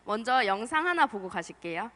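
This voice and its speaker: A woman's voice: a little high, very animated, fairly quick. Young female marketer, slightly under the weather, casual presenter in an echoing hall. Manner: chatty, soft-spoken, with small laughs and restarts. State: has a cold, voice strained. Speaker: marketer giving a conference talk. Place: large lecture hall. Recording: long hall reverb.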